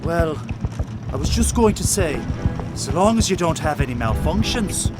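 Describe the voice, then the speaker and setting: High-pitched, eager brainy first mate. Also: male voice, very animated, moderate pace, over loud music. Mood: eager, technical. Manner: precise, technical, earnest. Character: brainy, eager, good-hearted first mate and tech tinkerer. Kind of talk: audio drama acting.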